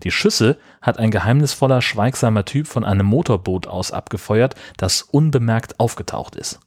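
A man's voice: lowish voice, moderate pace, a little mellow, no room sound, studio quality. A man co-hosting a podcast, reading a scripted retelling of a film. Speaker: podcast co-host. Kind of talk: scripted film recap narration.

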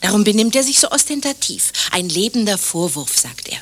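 An actress playing a coy, genteel, chatty hostess with an oddly unfeeling streak in an absurd radio play. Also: female voice, medium pitch, very animated, brisk, fairly bright tone. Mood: airy, matter-of-fact. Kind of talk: German radio play acting, absurd satire.

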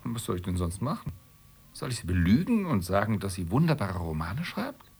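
A grumbling husband in a radio play. His voice is low, gravelly and husky, and he talks bluntly, always needling. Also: sing-song, fairly quick, a little mellow, exaggerated voice. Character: grumbling, blunt, nagging husband, middle-class Roman journalist. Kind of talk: radio drama acting.

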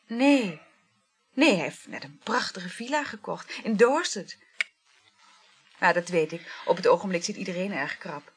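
A flighty married woman in a radio drama, chatting about scandal as casually as the weather. Her pitch swings freely and animatedly, and the voice has a slightly husky, breathy edge. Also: animated, measured pace. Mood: eager, gossipy. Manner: gossipy, casual, matter-of-fact about scandal. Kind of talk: naturalistic radio play acting.

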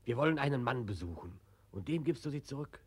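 A man playing a calm, insistent alter ego in a 1940s German radio play, quiet, firm and coaxing. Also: medium pitch, quick pace, breathy edge.

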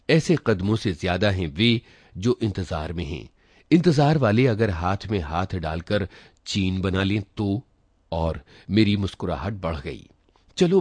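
A man narrating a literary short story in an expressive reading style. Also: low voice, slightly rough, no room sound. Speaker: story reader.

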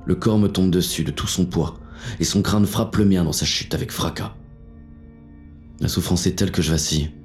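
A very deep, dark-toned male actor's police inspector narrates noir fiction. He is weary and menacing, telling it tersely and deliberately, with faint music underneath. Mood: tense, frightened. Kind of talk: scripted first-person noir audio fiction narration.

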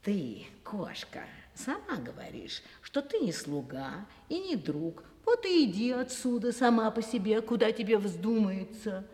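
A woman's voice: mid-range voice, big pitch swings, measured pace, few pauses, darkish tone. A woman playing a wary, firm, mocking cave housewife in an audio fairy-tale play. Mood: dismissive. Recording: long reverb.

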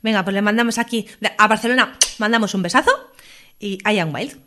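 A woman's voice: medium pitch, fairly quick, bright, forceful tone, a little hiss or hum. Playful woman radio co-host. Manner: playful, stumbles and hesitates while reading. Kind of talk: casual on-air chat.

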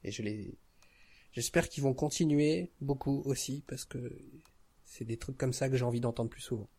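A man's voice: medium pitch, moderate pace, dark tone, slightly rough. A man chatting casually as a podcast guest, hesitant and searching for his words. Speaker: podcast guest, audio drama creator. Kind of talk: casual chat.

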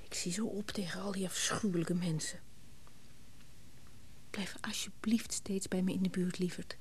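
An actress playing a well-to-do new widow in a radio play, clingy and scornful. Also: female voice, lowish voice, fairly even intonation, moderate pace, a little hiss or hum, natural voice. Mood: pleading. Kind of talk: Dutch radio play acting.

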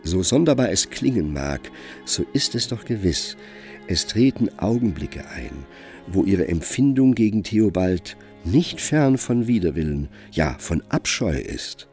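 A man narrating a literary radio play from a script, telling the story in a measured way with expressive emphasis. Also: low voice, soft background music.

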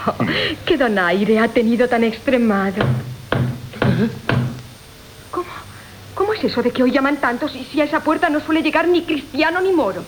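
High-voiced, coquettish Castilian gold-digger. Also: female voice, lively intonation, normal speed, pauses often. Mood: feigned modesty. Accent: Castilian Spanish. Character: coquettish greedy young woman, feigning modesty while begging gifts. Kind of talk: 1980 Spanish radio theatre acting of classic farce. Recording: faint background noise, old radio broadcast.

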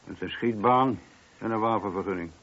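An actor playing a wry, informal police sergeant in a radio play, talking colloquially with a dry grumble. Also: male voice, on the low side, moderate pace, a bit bright, husky. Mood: wry, informative. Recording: dull, lo-fi old radio recording.

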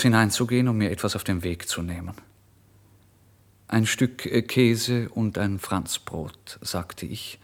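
A man's voice: fairly low pitch, close to an everyday voice. An actor playing an impoverished, irritable and impulsive male writer, telling his story in a theatrical, emphatic radio monologue. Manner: theatrical, emphatic, swinging between hushed and loud. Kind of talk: literary first-person radio monologue, dramatised narration.

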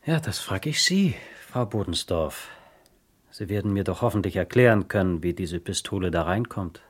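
A man playing a polite, probing police inspector in a German radio crime drama, measured, formal and husky. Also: sits low, animated.

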